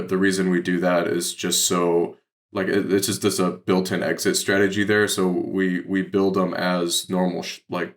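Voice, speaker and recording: An American man speaking as a podcast guest, explaining things casually in a loose, rambling way full of filler words. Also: low voice. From Detroit, Michigan, United States. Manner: rambling, heavy use of "like". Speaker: podcast guest, real estate investor.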